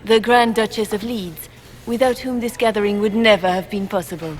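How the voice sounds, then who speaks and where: An actress playing a poised, regal empress with a formal, stately manner in a fantasy video game. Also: female voice, medium pitch, normal speed, lightly acted. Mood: gracious, cool.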